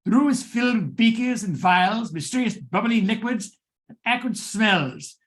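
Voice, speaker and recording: A man acting as a theatrical radio drama announcer, narrating deliberately in old-time radio style. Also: sits high, lively intonation. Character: theatrical male radio mystery host and narrator.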